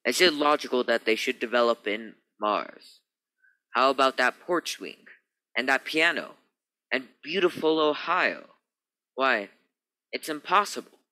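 A man's voice: mid-range voice, expressive, unhurried, long pauses, bright, forceful tone, poor audio. A man acting a skeptical, commanding spaceship captain in a radio play, emphatic and authoritative. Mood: astonished.

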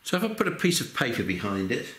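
An older man, his voice croaky from a cold, talks through a hands-on workshop build in a methodical, patient way. His mid-pitched voice rings a little in an echoey room. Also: big pitch swings, fast, a bit bright. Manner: methodical, explains step by step with frequent "okay" and "um". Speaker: maker demonstrating a build. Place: workshop bench.

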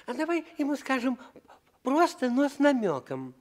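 A woman's voice: high voice, big pitch swings, moderate pace. A woman voicing a naive, eager schoolchild-like clown in a comic theatrical radio play, hesitant and stammering.

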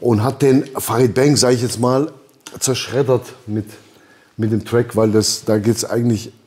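Laid-back man with a mellow voice. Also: medium pitch, fairly even intonation, normal speed. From Albanian background. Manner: laid-back, rambling, laughs often, acts out quotes. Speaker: podcast host. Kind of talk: casual rap-scene chat. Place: lounge by a boxing ring, indoors.

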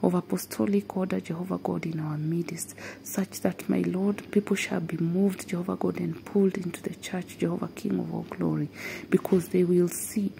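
A woman leads an intercessory prayer, fervent and steady, breaking her petitions with short repeated interjections. Her voice is very gravelly and dark-toned, with a faint hiss underneath. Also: fairly low pitch, moderate pace, poor audio. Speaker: prayer leader.

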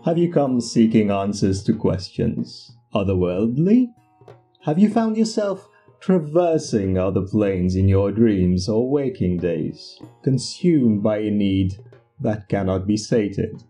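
A man's voice: fairly low pitch, sing-song, takes it slowly, mellow, soft background music, full character voice. A man playing a pompous spiritual guru in an audio drama, speaking in a grandiose, flowery way. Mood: grandly mystical. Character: pompous, theatrical male spiritual guru peddling remedies. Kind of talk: audio drama character acting.

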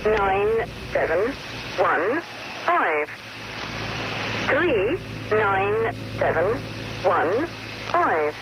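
A woman's voice: mid-range voice, very animated, drawn-out words, pauses often, noisy background. A woman announcing coded number groups in a clipped, impersonal way on an old shortwave radio recording.

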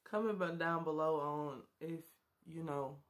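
A woman in her thirties chatting and joking with viewers while doing her makeup, recorded close on a webcam in a small bedroom. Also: sits low. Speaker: beauty vlogger. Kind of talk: casual makeup tutorial chat.

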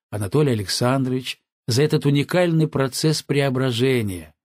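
A man narrating an audiobook from a script in a measured, earnest way. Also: medium pitch, a little mellow, slightly rough, no room sound, clean studio sound.

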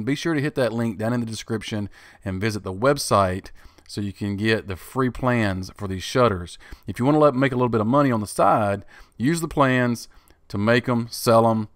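A middle-aged man with a fairly low voice talks through a home woodworking project in a relaxed, matter-of-fact way. He sounds like a host narrating his own tutorial. The recording is close and dry, with no room sound. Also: dry recording. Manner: plain, easygoing step-by-step explaining. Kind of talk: DIY tutorial voice-over.

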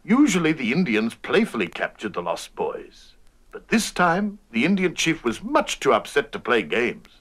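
A man's voice: medium pitch, huge pitch swings, moderate pace, a bit bright. A man reading a scripted children's story as narrator of a storybook record.

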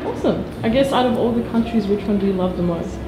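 An upbeat woman asks quick, simple questions and answers with short replies. Her voice sits a little high and sounds roomy. Music plays behind her. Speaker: interviewer. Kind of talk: casual interview questions.

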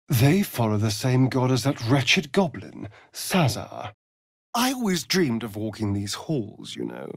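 A vain aristocratic vampire rogue from a video game, speaks with a British accent in a theatrical, sardonic drawl. The pitch swoops widely while the words are taken slowly. The sound is dry and close, with no room around it. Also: male voice, no room sound, heavily acted. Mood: scornful, wistful. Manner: theatrical, arch, drawling delivery. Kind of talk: video game character acting.

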